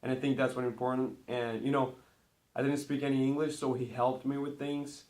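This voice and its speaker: A teenage boy from Brazil who grew up speaking Portuguese talks casually to his camera. He rambles cheerfully, his voice at an everyday pitch, and he gets louder and brighter each time he starts a new numbered item. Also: little pitch movement, brisk. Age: teens. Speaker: vlogger.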